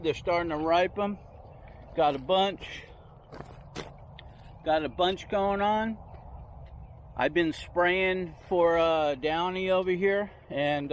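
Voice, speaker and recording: A man's voice: sits high, slight background noise. A man giving a casual, rambling tour of his backyard garden, recorded outdoors on a handheld phone. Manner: rambling, unhurried, points things out as he walks.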